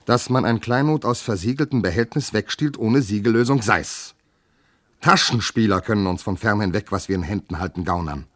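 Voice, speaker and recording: An actor playing a bitter, hot-tempered, jealous general and wronged husband, declaiming classical verse indignantly in a radio drama. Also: male voice, medium pitch, a bit bright, no echo, clean studio sound.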